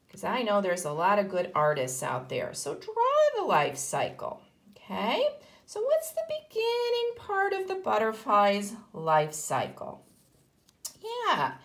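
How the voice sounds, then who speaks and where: A woman teaches kindergarten children in an unhurried way, with a voice that rises and falls in a very animated range. She explains things in simple steps and encourages her young listeners, often putting questions to them. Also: a little high. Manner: encouraging, asks questions and answers them herself. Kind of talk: instructional talk to young children.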